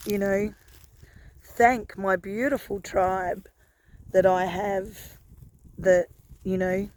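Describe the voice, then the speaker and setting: A hoarse Australian woman with a croaky voice, musing gently in a casual vlog and often adding "you know". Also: mid-range voice. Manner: gentle, unhurried, warm-hearted musing with many "you know" fillers. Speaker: lifestyle vlogger. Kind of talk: casual reflective chat to camera.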